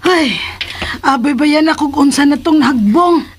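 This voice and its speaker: A woman playing a concerned, kindly older aunt in a radio drama, with a husky voice and a Cebuano Filipino accent. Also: sits high, unhurried. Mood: reassuring.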